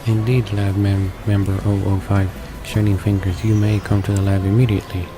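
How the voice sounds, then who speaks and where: A man with a low, fairly even voice reads game text aloud in a let's play. He trips over words here and there, and music plays clearly behind him. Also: a little fast. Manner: stumbles over words while reading. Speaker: let's play host reading a visual novel aloud.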